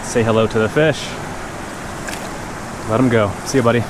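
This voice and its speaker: A woman narrating casually to viewers while fishing a mountain creek, chatty and breaking into excited exclamations, recorded on a point-of-view action camera outdoors. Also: extremely low pitch. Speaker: fishing vlogger. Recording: loud rushing creek noise. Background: rushing stream water.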